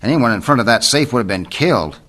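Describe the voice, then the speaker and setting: An actor playing an affable, plain-spoken writer and sidekick in an old-time radio mystery, with an expressive delivery. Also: male voice, medium pitch, quick pace, lightly acted. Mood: curious, relieved. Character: affable male writer with an outlaw past, sidekick.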